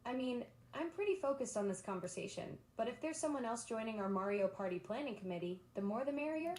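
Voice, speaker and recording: A woman's voice: on the high side, animated, fast, a little mellow, reverberant, a little hiss or hum. A synthetic female voice assistant talking in a bubbly, enthusiastic, eager-to-please way. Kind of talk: upbeat synthetic assistant chat.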